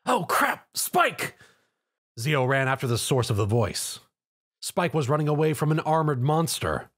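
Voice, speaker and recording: A man's voice: mid-range voice, normal speed. A man reading scripted narration of an action story.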